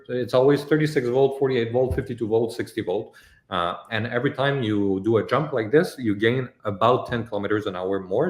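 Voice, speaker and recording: A man with a French accent and French as his native language, answering questions in a casual, informal way and explaining things step by step. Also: lowish voice. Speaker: company host answering viewer questions. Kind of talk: casual live Q&A, technical explanation. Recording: echoey room.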